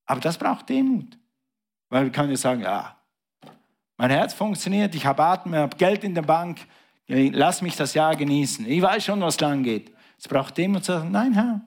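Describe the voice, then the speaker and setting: A male pastor giving a sermon, swinging between loud, high bursts and quiet, hushed passages. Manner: preaching with big swings in pitch and volume, dropping to a hush.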